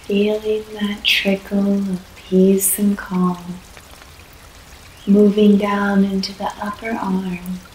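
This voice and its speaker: A woman leading a guided yoga nidra relaxation in a soft, soothing voice, with long pauses between short phrases. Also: medium pitch, level tone. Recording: echoey room, faint background noise.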